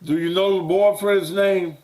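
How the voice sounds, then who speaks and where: A man's voice: sits high, fairly even intonation, measured pace, darkish tone, poor audio. A man hosting a call-in show, blunt and pressing, who repeats his questions until he gets answers. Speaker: talk show host. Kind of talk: call-in radio talk.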